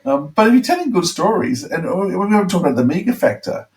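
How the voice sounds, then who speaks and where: A man chatting casually as a podcast panellist, rambling and halting as he repeats words. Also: high voice, sing-song, darkish tone, recorded from a distance, a little hiss or hum. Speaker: podcast panellist discussing audio dramas. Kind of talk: casual chat.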